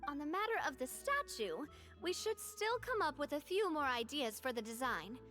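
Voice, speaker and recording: A woman's voice: high voice, big pitch swings, moderate pace, sharp tone, faint music behind, exaggerated voice. A young woman voicing a friendly, assured gang leader in a video game, speaking earnestly.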